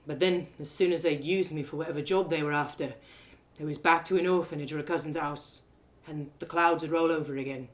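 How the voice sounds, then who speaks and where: A woman's voice: low voice, fairly even intonation, a little fast, pitched below the performer's own voice, natural voice. A woman voicing a glum young male cobbler in a storybook drama, wounded but kind, with a plain-spoken, rueful way of talking. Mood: bitter, sad. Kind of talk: storybook audio drama character.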